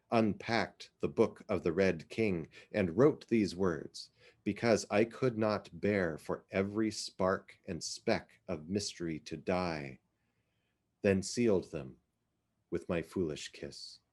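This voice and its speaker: A man giving a dramatic literary reading of poetry, with measured, deliberate, theatrical phrasing. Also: low voice, darkish tone. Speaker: literary reader.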